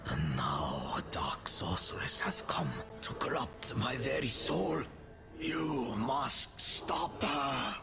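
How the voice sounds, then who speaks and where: A man voicing the solemn, weakened spirit of an ancient conqueror king in a fantasy game, breathy, grave and stately. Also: mid-range voice, big pitch swings, over music, heavily acted. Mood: grave warning. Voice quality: breathy, whispery. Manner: grave, dramatic, slow and stately.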